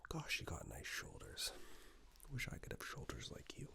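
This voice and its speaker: A man doing a soft-spoken ASMR tailor roleplay, breathy and whispered, with an unhurried, reassuring, slightly hesitant way of talking. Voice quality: soft, breathy whisper mixed with low creaky murmur. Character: attentive male tailor, gently flattering and chatty. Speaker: ASMR performer playing a tailor.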